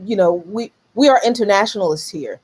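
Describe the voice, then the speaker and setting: Woman host leading an interview; earnest, conversational, a little halting. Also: medium pitch, a little mellow, breathy edge. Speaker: show host.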